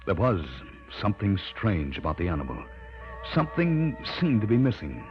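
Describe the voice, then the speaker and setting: Deep-voiced earnest scientist, old radio. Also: male voice, huge pitch swings, normal speed. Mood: grave, narrating. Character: earnest male scientist assistant recounting an eerie tale. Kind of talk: old-time radio drama acting and narration. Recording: muffled vintage radio recording with music.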